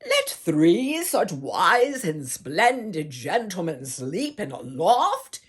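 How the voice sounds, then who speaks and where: A woman's voice: lowish voice, big pitch swings, slow, breathy edge, full character voice. A woman voicing eager, obliging village peasants in a storybook, earnest and emphatic, full of awe. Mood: grateful, admiring.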